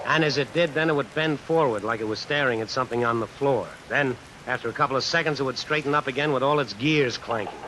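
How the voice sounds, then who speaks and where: A man's voice: mid-range voice, fast talker, few pauses, bright, forceful tone, a little hiss or hum, lightly acted, low-quality recording. A man acting a tough, vengeful drifter who narrates his own story in old-time radio suspense style, wry and slangy. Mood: grim, musing. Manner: hard-boiled, wry, slangy. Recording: vintage radio recording under music, rain. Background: music and rain.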